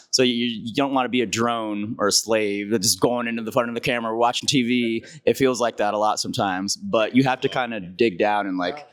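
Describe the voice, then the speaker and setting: A man's voice: mid-range voice, no room sound. A man who makes reaction videos, speaking earnestly and reflectively on a panel. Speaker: reactor, content creator. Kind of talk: casual panel chat.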